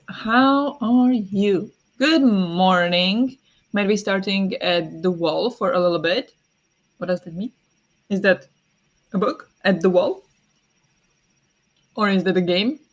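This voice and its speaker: A woman hosting a casual morning livestream from home, chatting, apologizing and greeting viewers, with many fillers, soft laughs and a voice that sometimes turns raspy. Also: lowish voice, slow, lo-fi sound. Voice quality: sometimes raspy, habitually clears throat. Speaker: livestream host.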